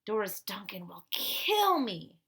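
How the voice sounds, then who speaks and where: A woman's voice: mid-range voice, sing-song, takes it slowly, fairly bright tone, heavily acted. A woman voicing an earnest, easily exasperated boy sleuth in a children's storybook, with emphatic, indignant delivery.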